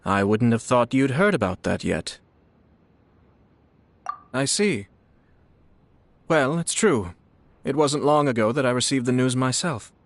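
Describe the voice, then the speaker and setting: A courteous noble clan head. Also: male voice, medium pitch, dry recording. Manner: formal, measured, gracious. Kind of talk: video game dialogue.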